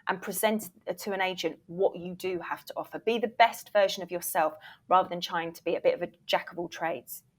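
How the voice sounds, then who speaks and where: A woman's voice: fairly low pitch, fairly even intonation, a little fast, hardly any pauses. A woman speaking as an expert interview guest, giving conversational advice emphatically and informally, sometimes mildly sweary and slipping into imagined quotes. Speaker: guest, voiceover reel producer and former agent.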